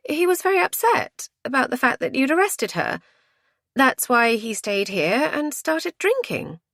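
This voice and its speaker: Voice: sits high, sing-song, measured pace, vocal fry, dry recording, clean studio sound, pitched above the performer's own voice, exaggerated voice. A woman playing an anxious, earnest, easily indignant woman in an audiobook, speaking emphatically.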